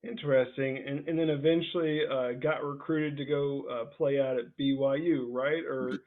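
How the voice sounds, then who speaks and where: A man hosting a casual sports interview as a football scout, talking in a halting, informal, conversational way. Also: medium pitch, steady tone. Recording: thin, narrowband phone-like call sound.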